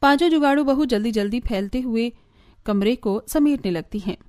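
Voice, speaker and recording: A woman's voice: fairly high pitch, normal speed, darkish tone. A woman reading scripted story narration for a family cartoon.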